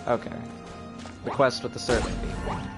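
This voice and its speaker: Man thinking aloud over loud game music. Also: normal speed. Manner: thinking aloud while playing. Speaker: game streamer. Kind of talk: casual live gameplay commentary.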